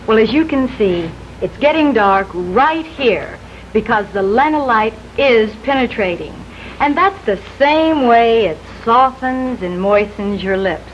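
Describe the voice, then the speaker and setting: A woman's voice: a little high, expressive, a little slow. A woman giving a scripted product demonstration for a 1950s television commercial. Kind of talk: scripted live television advertising pitch. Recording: dull, lightly noisy 1950s television broadcast.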